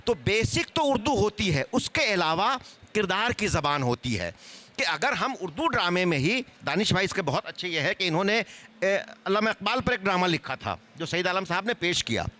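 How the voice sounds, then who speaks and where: A man gives an explanatory answer on a seminar panel. His voice is high and bright with very lively intonation, and he illustrates his points with examples. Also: moderate pace. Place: live seminar on stage.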